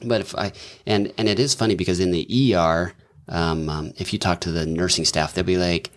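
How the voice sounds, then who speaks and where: A man's voice: deep voice, fairly even intonation, a little fast. A middle-aged man, a doctor and businessman, talking as a podcast guest in a thoughtful, rambling way with many fillers and restarts. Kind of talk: casual conversational interview.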